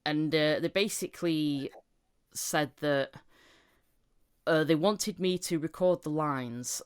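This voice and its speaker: A woman with a very clear, smooth voice hosts a podcast. She talks informally, as in a casual chat, and tells anecdotes from her working life. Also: low-pitched, slow pace. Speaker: podcast host, voice actor.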